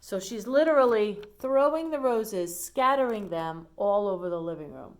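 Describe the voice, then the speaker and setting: A woman's voice: mid-range voice, lively intonation, normal speed. A woman reading a storybook aloud to children, explaining it in simple asides as she goes. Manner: simple explanatory phrasing, addressing young listeners.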